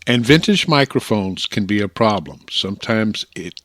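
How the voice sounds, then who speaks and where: An American man narrating an instructional hobby slideshow in a plainspoken, folksy, deliberate way, close on a broadcast-style microphone. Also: on the low side, expressive, fairly bright tone, no echo. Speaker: amateur radio hobbyist presenter.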